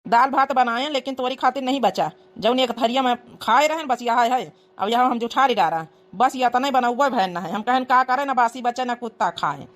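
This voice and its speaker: High-voiced, taunting village sister-in-law played by a woman. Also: normal speed, few pauses, lightly acted. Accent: Awadhi. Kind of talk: dialect cartoon comedy acting.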